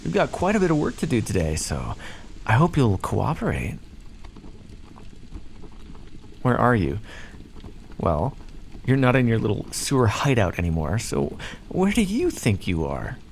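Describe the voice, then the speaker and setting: A man's voice: mid-range voice, wildly swooping pitch, moderate pace, creaky, a little hiss or hum. A man voicing a smug, playfully menacing vampire captor in an ASMR roleplay, speaking to the listener in a theatrical, condescending and teasing way. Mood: mock cheerful. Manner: condescending, theatrical, teasing drawl with pet names.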